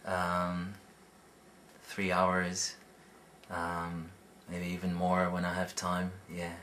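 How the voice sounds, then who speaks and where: A man's voice: low voice, completely flat delivery, drawn-out words, a bit bright, a little hiss or hum. A man, by birth, answering interview questions casually and hesitantly, with many fillers and restarts. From Romania. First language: Romanian. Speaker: interviewee, competitive Scrabble player.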